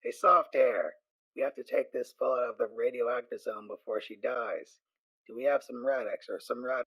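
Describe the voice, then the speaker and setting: A man voicing a weathered female ghoul pony soldier, raspy and gruff but gentle, in an audio drama. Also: medium pitch, normal speed, no echo, muffled recording. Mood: concerned. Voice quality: raspy, husky.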